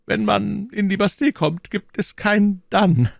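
A man voicing a powerful, calculating cardinal in a storybook reading, pompous, measured and commanding. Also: high-pitched, gravelly, no room sound, clean studio sound, voice pushed up, heavily acted. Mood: cool, commanding. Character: powerful, calculating male cardinal, lofty and menacing.

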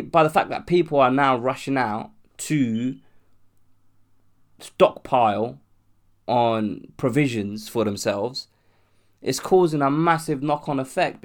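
Young man, from London, has a cold, sore throat, rambling solo podcast host, casual swearing, middle pitch.

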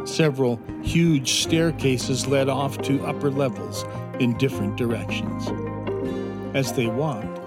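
A man narrates a scripted fantasy story for children. His delivery is slow and measured at a middle pitch, with loud music playing behind him throughout.

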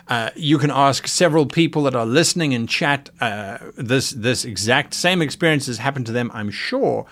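A man's voice: mid-range voice, fairly bright tone. A man hosting a casual livestream, talking thoughtfully and earnestly about running tabletop games, with fillers and restarts as he reads chat. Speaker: podcast host and game master.

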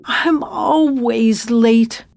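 Actress as weary, slow-speaking woman caregiver. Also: on the high side, a little mellow. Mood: weary exasperation. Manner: weary, exasperated muttering. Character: exhausted, guilt-ridden woman caring for her sister. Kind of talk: audio drama acting.

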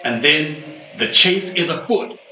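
Casting: a man as a bright, theatrical announcer, high and lively voice, amateur radio play, roomy sound. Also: unhurried, faint music behind, full character voice. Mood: announcing. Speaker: narrator and announcer.

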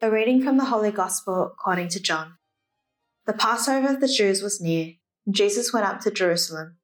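A woman reads scripture in a formal, liturgical style. Her voice is mid-pitched, and soft music plays faintly behind it. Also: expressive, a little fast. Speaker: scripture reader. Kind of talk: formal liturgical Gospel reading.

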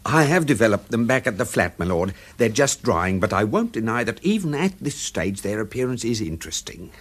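An actor playing a meticulous, unflappable manservant in a radio drama, speaking formally and precisely with an English accent. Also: male voice, on the low side, sing-song, a little fast. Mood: deferential, quietly proud. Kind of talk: full-cast radio drama acting.

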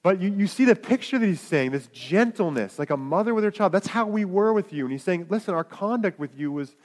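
A man's voice: high voice, lively intonation, brisk. A man preaching a sermon, in a conversational way with asides to the congregation. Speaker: pastor.

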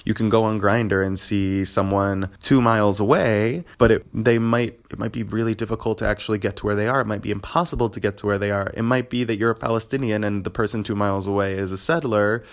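A man's voice: lowish voice, steady tone, fairly quick. A man, a podcast guest, explaining his points step by step in a casual interview.

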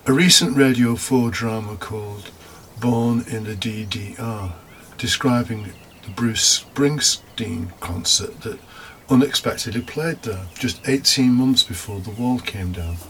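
A man's voice: mid-range voice, measured pace, hardly any pauses, fairly bright tone, poor audio. A man preaching a homily as a deacon, reflective, partly scripted with informal asides and rhetorical questions. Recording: faint background noise.

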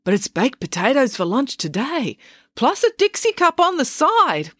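A woman's voice: high-pitched, huge pitch swings, fairly bright tone, no echo, clean studio sound, voice pushed up, exaggerated voice. Woman playing a doddering, deaf old doctor. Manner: slow, kindly, doddering. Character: very old deaf male country doctor, vague.

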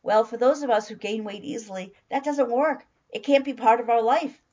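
Hoarse woman, middle-aged, motivational diet coach, emphatic with repetition, slightly muffled sound. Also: fairly high pitch, normal speed, darkish tone. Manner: emphatic, repeats phrases for stress. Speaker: weight-loss coach. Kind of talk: motivational talk.

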